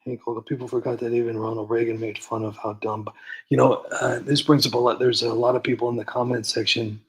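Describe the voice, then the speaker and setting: A man's voice: mid-range voice, little pitch movement, quick pace, rough recording. A man hosting a comedy livestream, chatting casually and rambling with lots of fillers and restarts. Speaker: comedy channel host.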